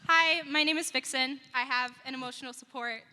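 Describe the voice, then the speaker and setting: Female fan questioner, high bright voice, echoing convention hall PA. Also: steady tone, a little fast. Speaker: audience questioner. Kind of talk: asking a question at a panel. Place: large convention panel hall. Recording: echoey hall PA with long reverb.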